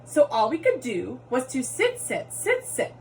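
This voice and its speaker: A woman reading a children's story aloud expressively, with dramatic pauses and drawn-out emphasis. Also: high voice, huge pitch swings, darkish tone. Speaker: storybook reader, teacher. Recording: noticeable background noise.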